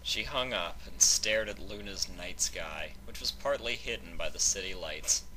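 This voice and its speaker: A man's voice: low-pitched, a little slow, bright, forceful tone, slight background noise, poor audio. A man reading a scripted fan fiction story aloud as its narrator.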